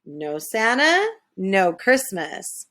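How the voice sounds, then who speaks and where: An earnest little storybook elf, acted out by a woman, anxious about keeping to schedule. The delivery is urgent and exclamatory, swinging widely in pitch. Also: big pitch swings, extremely slow, fairly bright tone, exaggerated voice. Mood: impatient. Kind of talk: children's storybook character.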